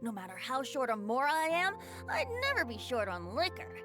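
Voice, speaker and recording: A woman's voice: high voice, huge pitch swings, a little fast. A woman voicing a playful, carefree, youthful bard in an anime-style video game, with a whimsical, theatrical delivery. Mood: dreamy, amused. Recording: music behind the voice. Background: game music.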